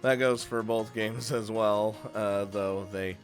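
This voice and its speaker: A man's voice: on the low side, steady tone, slow, soft background music. A man hosting a casual, unscripted countdown of games, chatty and crude, swearing freely. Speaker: video host and game reviewer.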